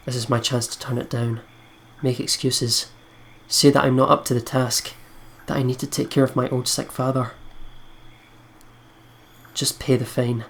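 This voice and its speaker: A man's voice: mid-range voice, a little hiss or hum. Man reading game narration, subdued range. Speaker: let's player. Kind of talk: reading visual novel narration aloud. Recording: game music behind the voice.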